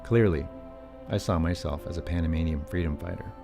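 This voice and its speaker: A middle-aged man from California tells his family's story as documentary voice-over. His voice sits extremely low, and he delivers the script with dry, self-mocking humor. Soft music plays faintly underneath. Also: brisk, creaky. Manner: wry, self-deprecating asides. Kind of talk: scripted personal documentary narration.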